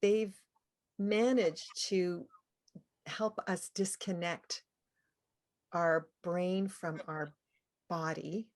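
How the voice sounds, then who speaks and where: Lower-voiced woman interviewer, musing. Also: fairly even intonation, very slow, long pauses. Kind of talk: casual spiritual interview conversation.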